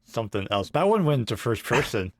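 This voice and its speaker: A man's voice: mid-range voice, very animated, a little fast, a little hiss or hum. A man co-hosting a podcast in casual chat, speaking in short, tentative phrases. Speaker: podcast co-host.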